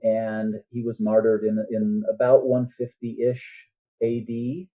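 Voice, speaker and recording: A man's voice: on the low side, completely flat delivery, slow pace, highs cut off. A man speaking as an author guest on a podcast, explaining things earnestly in a conversational way and often checking in with the listener.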